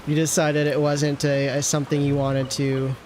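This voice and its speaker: A woman's voice: deep voice, monotone, moderate pace, darkish tone, a little hiss or hum. A woman streaming a game, chatting casually with offhand, playful asides. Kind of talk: casual livestream chat. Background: faint game music.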